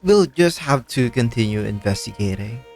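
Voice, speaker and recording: A man playing a calm, inquisitive companion character in a video game. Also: medium pitch, normal speed, gravelly, no echo, soft background music. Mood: calm, inquiring.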